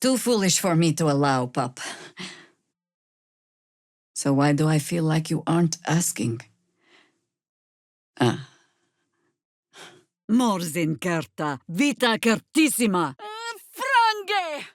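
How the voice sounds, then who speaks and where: Tired sardonic old-hand warrior druid lady in a fantasy game, slow dry delivery, really close dry sound. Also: lowish voice, sing-song, no room sound. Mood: fierce incantation. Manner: dry, wry, measured. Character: weary, sardonic veteran woman druid warrior, battle-hardened. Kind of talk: fantasy video game voice acting.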